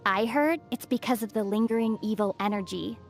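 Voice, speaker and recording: An actress playing a calm, sensible, gently teasing young traveler heroine in a video game. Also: female voice, mid-range voice, a little fast, soft background music, close to an everyday voice. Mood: calm, explanatory. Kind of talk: scripted video game dialogue.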